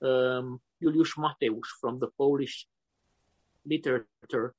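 A man in his fifties with a Romanian accent, answering interview questions slowly and haltingly, often pausing to search for words. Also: mid-range voice, steady tone, a little mellow, dry recording. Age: 50s. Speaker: poet guest.

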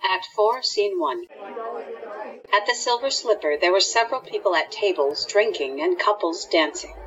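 A woman's voice: medium pitch, normal speed, muffled recording, crowd noise. A woman reading scripted storytelling narration for an audio drama.

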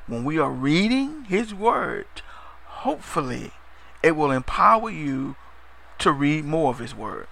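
Gravelly, bright-voiced preacher man. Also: fairly high pitch. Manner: emphatic, repeats phrases, call-and-response "Amen?"; stumbles over words, drifts into fast talk. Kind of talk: broadcast sermon.

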